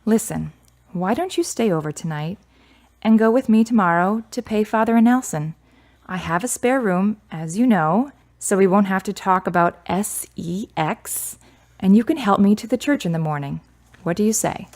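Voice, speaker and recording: An actress playing a kind, reserved grieving daughter in a radio drama, speaking in a measured, earnest way. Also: female voice, mid-range voice, expressive, a little mellow, lightly acted. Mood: gentle. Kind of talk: serial radio drama acting.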